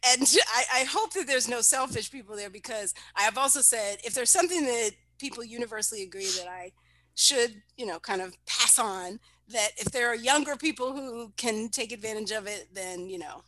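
Woman, casual chat, playful teaser, high and bright, dry close sound. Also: moderate pace, no room sound. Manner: animated, laughs often, playful teasing.

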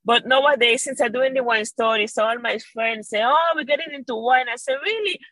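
A woman's voice: fairly high pitch, a little fast, no room sound. A woman from Venezuela with a Venezuelan Spanish accent, talking about wine as a guest in a casual interview, enthusiastic and laughing often. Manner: warm, enthusiastic, laughs often, restarts phrases. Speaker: podcast guest, wine educator.

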